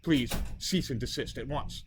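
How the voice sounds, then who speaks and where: A man's voice: mid-range voice, normal speed, slight background noise, voice pushed down, close to an everyday voice. Man reading as a prim, officious lady tooth fairy. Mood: stern. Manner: formal, stiff official letter style.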